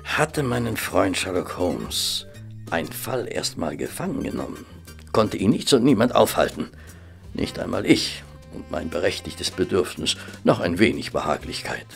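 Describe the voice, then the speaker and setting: A genial, comfort-loving gentleman companion in a German audio drama. The voice is low and gravelly, with an expressive, courteous storyteller's manner and wide swings in tone. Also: heavily acted.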